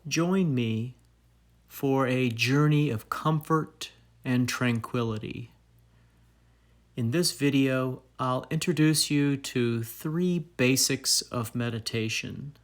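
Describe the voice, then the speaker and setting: Man, guided meditation narrator, extremely unhurried, calm, soothing, deliberate, long pauses, medium pitch. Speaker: meditation guide and fitness trainer.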